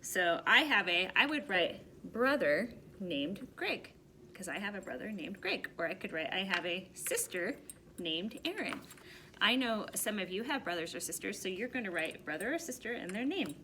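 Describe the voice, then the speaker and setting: Expressive woman teacher, noisy recording. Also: mid-range voice, normal speed. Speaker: teacher reading to young children. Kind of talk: storybook read-aloud and simple directions.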